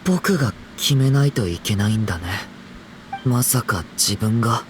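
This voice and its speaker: Man acting gentle earnest boy hero. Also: mid-range voice, animated, fast talker, slight background noise, lightly acted. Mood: pensive, resolute. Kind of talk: Japanese anime game acting.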